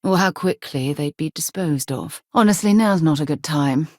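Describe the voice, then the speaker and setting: An actress voicing a self-assured, earnest and wry ex-military space explorer in a video game, articulate and composed. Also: female voice, deep voice, normal speed, darkish tone, no echo. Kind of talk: video game character dialogue.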